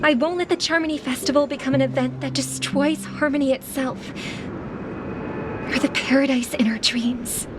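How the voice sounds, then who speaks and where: An actress playing an earnest, idealistic young singer in a game cutscene, gentle but determined. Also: female voice, sits high, fairly even intonation, normal speed, noisy background, natural voice. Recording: studio voice over loud music.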